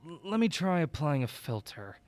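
A man's voice: a little high, big pitch swings, moderate pace, darkish tone, no echo. A man playing an earnest, easygoing young amateur sleuth in an audio drama.

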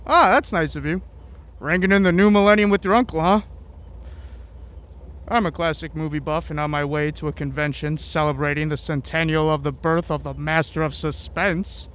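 A man acts out a chatty older motorist for an audio drama. His voice sits very high, and he talks in a courteous, old-fashioned, folksy way that flusters easily. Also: fairly even intonation, normal speed, fairly bright tone, a little hiss or hum, lightly acted. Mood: cheerful, curious.

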